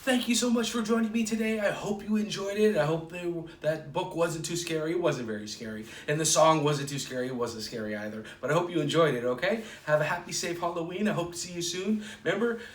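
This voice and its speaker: A man hosting a children's storytime, reading a picture book aloud in an expressive, playful way with drawn-out dramatic words. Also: on the high side. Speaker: children's storytime host at a library. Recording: roomy echo, distant microphone.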